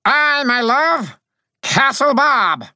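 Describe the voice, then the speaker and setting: A man's voice: medium pitch, wildly swooping pitch, a little slow, pushed and bright, dry recording, clean studio sound, voice pushed up, exaggerated voice. A man voicing a tiny, valiant fairy soldier who makes formal, grandiose declarations with earnest pomp, in a storybook reading. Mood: alarmed, thundering. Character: tiny winged male fairy soldier, pompous, excitable, valiant.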